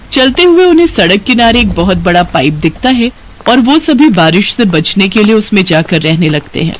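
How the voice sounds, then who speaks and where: A man's voice: high-pitched, a little hiss or hum. A man narrating a scripted moral story for a cartoon.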